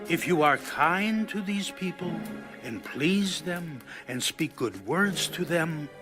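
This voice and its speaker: Man acting a slow, gentle old counselor. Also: fairly high pitch, animated, music in the background. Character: old male royal elder, gentle wise counselor. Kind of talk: dramatized audio Bible acting.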